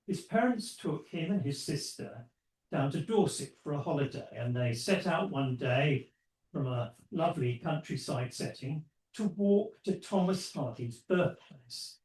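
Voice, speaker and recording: A man hosting a club evening who introduces the guest speaker with a prepared, slow-told anecdote. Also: on the high side, darkish tone. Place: lecture room. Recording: distant and echoey.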